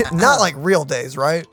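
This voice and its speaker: A man's voice: fairly high pitch, fairly bright tone, husky. A man hosting a reaction video, giving casual, playful commentary on a game.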